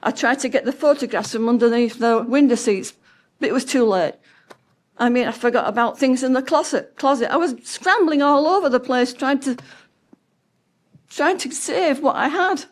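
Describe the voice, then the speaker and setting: Tearful high-voiced woman in her seventies. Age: 70s. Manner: halting, breaking off mid-word, nervous laughter. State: distraught, close to tears. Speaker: resident giving public comment. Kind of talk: public comment at a county meeting.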